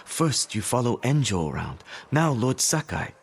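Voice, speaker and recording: Principled pacifist monk, expressive pitch. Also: male voice, measured pace, husky, heavily acted. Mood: reproachful, defiant. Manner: earnest, pleading, moralizing. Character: pacifist Buddhist healer monk, principled and stubborn. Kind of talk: dramatic video game cutscene acting. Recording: background music under the dialogue.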